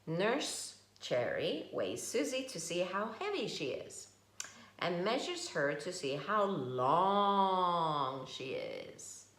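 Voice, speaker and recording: A woman reading a picture book aloud to young children, slow and expressive, stopping to ask questions. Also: deep voice. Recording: echoey room.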